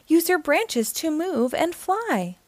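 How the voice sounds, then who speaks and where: A woman's voice: extremely high pitch, sing-song, slow pace, no echo, pitched above the performer's own voice, heavily acted. A woman voicing a helpful little female swallow in a children's storybook, encouraging and instructive. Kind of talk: children's storybook read-aloud.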